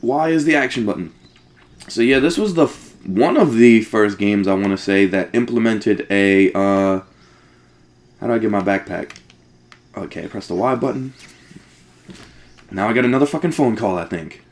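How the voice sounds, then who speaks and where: A man's voice: lowish voice, moderate pace, long pauses. A young man giving casual, sweary let's play commentary, rambling and thinking aloud as he plays.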